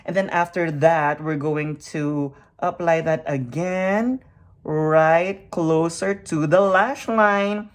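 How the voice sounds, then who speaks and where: A woman's voice: deep voice, slow pace. A woman from the Philippines giving a makeup tutorial, upbeat and hyper, tossing in peppy "you know what?" and "yes!" asides between encouraging thoughts. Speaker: makeup vlogger. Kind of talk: makeup tutorial with motivational talk.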